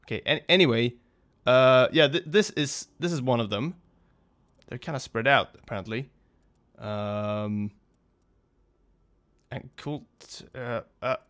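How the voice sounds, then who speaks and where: A man's voice: mid-range voice, bright, forceful tone. A young man hosting a gaming video, talking off the cuff in a casual, hesitant, rambling and apologetic way.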